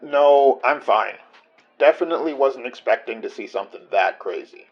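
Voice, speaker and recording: Mid-pitched, distracted detective. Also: male voice, animated, normal speed, muffled recording, faint music behind. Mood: reassuring. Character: distracted, reassuring male detective partner on call. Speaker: actor in an audio drama. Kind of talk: naturalistic horror fiction podcast acting.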